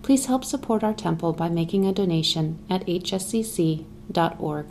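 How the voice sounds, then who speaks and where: A woman reading a short, calm podcast announcement. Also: fairly low pitch, moderate pace. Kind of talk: read announcement. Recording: faint background noise.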